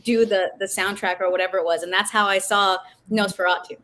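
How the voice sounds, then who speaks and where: A woman's voice: mid-range voice, fairly even intonation, brisk, a bit bright. A woman actor chatting casually as a guest in an interview. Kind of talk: casual chat.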